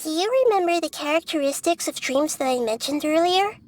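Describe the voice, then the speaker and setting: A woman voicing a small, wise, gentle girl deity in a video game, explaining calmly and patiently. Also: sits high, brisk, slight background noise. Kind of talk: English video game voice acting.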